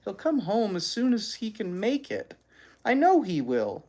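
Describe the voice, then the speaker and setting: An earnest young boy put on by a read-aloud host, stubborn and pleading. The pitch jumps up and swings widely as the storybook kid insists on being heard. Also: huge pitch swings, unhurried, darkish tone. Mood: pleading, insistent. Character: earnest, stubborn boy missing his lost dog.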